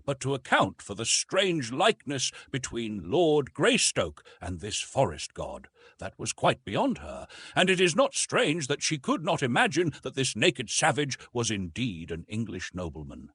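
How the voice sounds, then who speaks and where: Expressive male audiobook narrator, slightly husky. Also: mid-range voice, unhurried, dry recording. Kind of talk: scripted storybook narration of an adventure novel.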